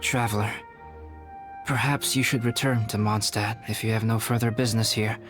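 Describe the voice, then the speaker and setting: A man's voice: fairly low pitch, fairly even intonation, over music, close to an everyday voice. Man playing a composed, aloof young scholar. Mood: grave, guarded. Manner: measured, formal, scholarly. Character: composed, aloof young male alchemist, formal and thoughtful. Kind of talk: dramatic video game voice acting.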